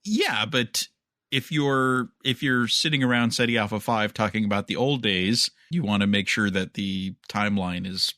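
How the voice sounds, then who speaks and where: Male podcast co-host: low-pitched, bright and forward, conversational and opinionated, very dry sound. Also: moderate pace, no room sound. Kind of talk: casual chat about entertainment news.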